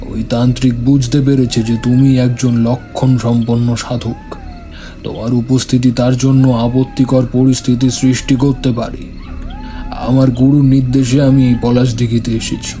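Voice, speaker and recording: A man's voice: mid-range voice, flat delivery, unhurried, mellow, close to an everyday voice. A man playing a stern, authoritative tantric holy man who admonishes formally in a horror audio drama. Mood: stern, admonishing.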